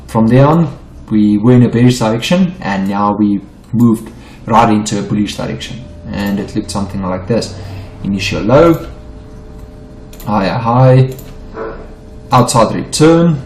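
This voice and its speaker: A man's voice: fairly low pitch, a little mellow, reverberant, slight background noise. A middle-aged South African man whose first language is Afrikaans, walking through trading charts in an unedited lesson, stumbling and repeating himself as he explains. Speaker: forex trading teacher. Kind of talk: unedited screen-share chart walkthrough.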